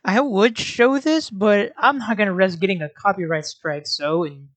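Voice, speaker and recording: A woman's voice: medium pitch, moderate pace, no echo. A woman giving scripted video commentary as an anime reviewer, in an informal, sarcastic way.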